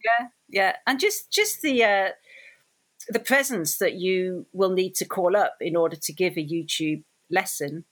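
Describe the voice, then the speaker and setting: A woman interviewing a guest, encouraging and affirming, with frequent warm praise. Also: on the low side, measured pace. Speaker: interviewer, host. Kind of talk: supportive interview.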